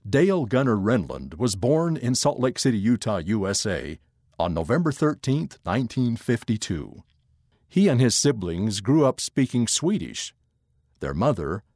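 A man giving a scripted narration of an article in a measured, expressive reading voice. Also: medium pitch, no echo, clean studio sound.

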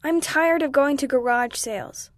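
Woman voicing an earnest, high-pitched young schoolgirl. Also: normal speed, no echo, studio quality, natural voice. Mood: upset. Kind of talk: children's story dialogue reading.

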